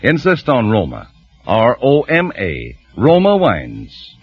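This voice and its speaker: A man announcing for a 1940s radio program, his husky voice moving in a lively, animated way through a polished, persuasive sponsor read. The old broadcast sounds muffled, with music faintly underneath. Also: medium pitch, slow pace.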